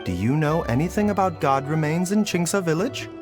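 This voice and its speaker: A man as a learned doctor, lightly high-voiced. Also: lively intonation, music in the background, natural voice. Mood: measured doubt. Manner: formal, measured, explanatory. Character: gentle, learned male doctor, measured and composed. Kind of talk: video game voice acting.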